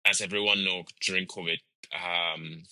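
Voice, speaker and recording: A man's voice: deep voice, fairly even intonation, normal speed, sharp tone, dry recording. A man from Trinidad and Tobago, a guest musician talking casually and easily, with plenty of fillers and light laughter. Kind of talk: casual interview talk.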